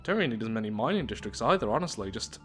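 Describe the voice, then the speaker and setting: A man's voice: lowish voice, big pitch swings, very fast, a bit bright, soft background music. A man giving casual commentary on a strategy game playthrough, thinking aloud as he goes. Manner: thinking aloud, musing to himself.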